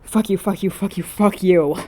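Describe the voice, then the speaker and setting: A woman's voice: fairly low pitch, moderate pace, a little mellow, natural voice. A woman voicing a grumpy, exasperated teenage boy in a story reading, curt and sarcastic. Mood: grumbling, annoyed. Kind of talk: acted dialogue in fan fiction reading.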